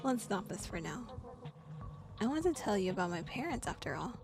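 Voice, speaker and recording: A woman voicing a calm, gently insistent young man from a horror romance visual novel, speaking in a soft, even tone. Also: medium pitch, brisk, over music.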